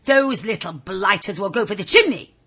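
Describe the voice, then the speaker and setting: A woman voicing a brave but anxious male rat in a children's storybook, musing to himself in a raspy voice. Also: medium pitch, expressive, normal speed, sharp tone.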